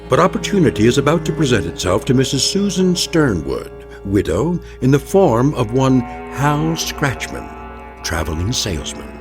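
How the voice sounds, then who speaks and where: An actor as a measured, ominous male narrator of an uncanny anthology drama. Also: medium pitch, big pitch swings. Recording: clear music behind.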